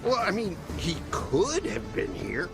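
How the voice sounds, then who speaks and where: An actor voicing an excitable, evasive talking monkey in a comic video game scene, with music underneath. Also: male voice, sits high, measured pace, vocal fry, full character voice. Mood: sheepish. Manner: evasive, sheepish, jumpy.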